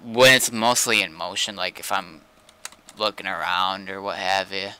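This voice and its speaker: A man's voice: sits low, steady tone, normal speed, bright, forceful tone, smooth. A man in casual conversation, speaking tersely and informally as a game streamer. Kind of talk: casual chat.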